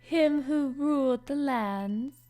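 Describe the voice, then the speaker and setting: A woman voicing a cold, riddling witch who speaks prophecy in a measured chant. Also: high-pitched, dark tone, faint music behind. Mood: ominous. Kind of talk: fan audio drama acting.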